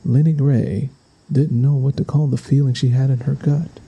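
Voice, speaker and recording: A man's voice: mid-range voice, fairly even intonation, normal speed, gravelly, mellow, breathy edge, highs cut off. A man reading a scripted, dramatic story as its narrator. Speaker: audiobook narrator.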